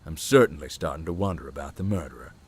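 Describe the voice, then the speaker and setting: Actor as a low, dry, guarded ghost believer. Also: animated, fast, breathy edge, heavily acted. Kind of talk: visual novel voice acting.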